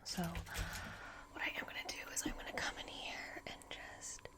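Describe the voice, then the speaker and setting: A woman doing a gentle ASMR roleplay as a reassuring technician, speaking in an unhurried, breathy whisper. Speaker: ASMR roleplay performer playing a repair technician.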